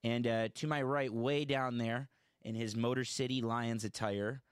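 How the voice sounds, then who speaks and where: A man's voice: mid-range voice, fairly even intonation, unhurried, slight background noise. A man hosting a casual sports podcast, chatty and opinionated, often correcting himself mid-sentence. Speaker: podcast host.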